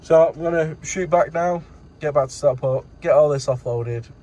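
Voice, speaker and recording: A man's voice: a little high, moderate pace, warm tone. A man giving a casual, rambling vlog update as a courier driver, in colloquial everyday speech.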